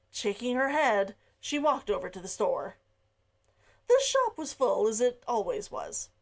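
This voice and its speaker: A woman reading her novel aloud chapter by chapter, giggling between lines in the intro. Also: a little high. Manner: giggly, laughs between sentences. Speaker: read-along narrator. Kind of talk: casual intro and story reading.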